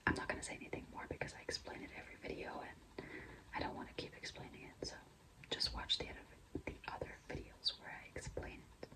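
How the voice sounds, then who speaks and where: A young woman whispering in a breathy, mostly unvoiced voice, chatting confidingly and casually to viewers as a vlogger telling a personal story. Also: fast talker, poor audio. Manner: confiding, conversational, frequent "like" and "you know".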